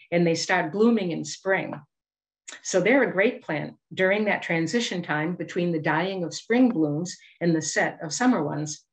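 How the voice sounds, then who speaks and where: A middle-aged woman with a Boston accent gives a scripted educational presentation over a video call, measured and articulate. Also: on the low side, rough recording. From Boston area, United States. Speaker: master gardener giving a presentation.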